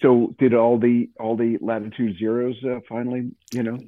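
A man chatting casually as a radio collector, with hesitant, halting phrasing, over a thin phone-like line. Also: medium pitch, flat delivery, no room sound. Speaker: old-time radio collector. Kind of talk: casual chat.

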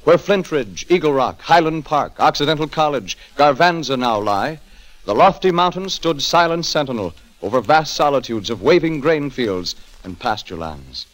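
A man's voice: on the high side, expressive, moderate pace, lightly acted, rough recording. An American man narrating a 1940s radio drama as its host, in a dramatic, declamatory scripted style. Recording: old 1940s radio broadcast.

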